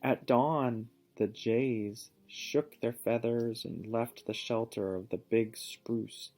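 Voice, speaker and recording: A man reading a picture book aloud to a child, pausing to ask questions and give patient encouragement. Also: medium pitch, fairly even intonation, a little mellow, soft background music. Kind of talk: storybook read-aloud with teaching asides.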